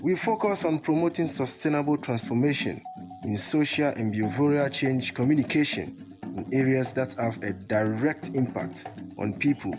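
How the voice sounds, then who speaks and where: A man's voice: mid-range voice, animated, moderate pace, music in the background, poor audio. A man reading scripted promotional narration as an announcer.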